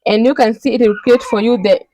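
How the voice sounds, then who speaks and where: A woman hosting a step-by-step software tutorial, talking instructionally and often checking in with a quick "okay". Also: fairly high pitch, fairly even intonation, no echo. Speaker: tutorial host.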